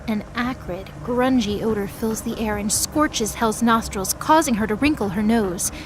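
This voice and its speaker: A woman's voice: fairly high pitch, moderate pace, background noise. A woman reading scripted dramatic narration for a mythology audio drama. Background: music behind the voice.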